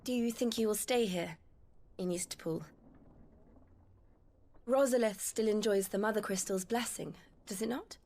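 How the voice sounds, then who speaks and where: Gentle, mid-pitched young noblewoman. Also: a little slow, pauses often. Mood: polite, caring. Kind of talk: cinematic game voice acting. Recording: music behind the voice. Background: music.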